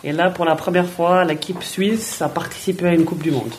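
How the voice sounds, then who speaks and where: A woman's voice: fairly low pitch, steady tone, normal speed, darkish tone, slight background noise. Woman, plain friendly answers. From Switzerland. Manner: plain, friendly, repeats words while thinking. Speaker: footballer guest. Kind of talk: answering children's interview questions.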